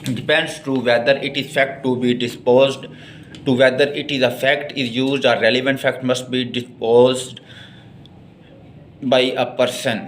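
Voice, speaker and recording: A man's voice: mid-range voice, a bit bright, slight background noise. A man with a Pakistani accent giving a bilingual law lecture, reading out formal text and explaining it by repeating and rephrasing. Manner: explanatory, repeats and rephrases points, slow and halting when reading.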